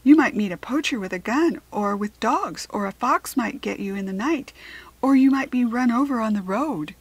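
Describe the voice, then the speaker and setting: A woman's voice: a little high, animated, moderate pace, husky, no room sound. A woman voicing an earnest, caring, anxious young farm girl in a children's storybook, speaking emphatically. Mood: concerned. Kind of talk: children's storybook character reading.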